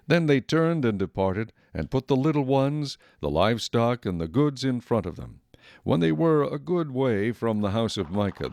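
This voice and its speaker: A man reading scripted Bible narration in a measured, solemn storytelling manner. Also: fairly low pitch, darkish tone, dry recording. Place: dry studio.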